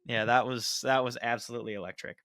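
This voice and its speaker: A man's voice: mid-range voice, fairly even intonation, quick pace, fairly bright tone. An American man hosting a casual interview, asking questions and responding with a fan's enthusiasm. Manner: enthusiastic, fan-like. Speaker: interviewer, host.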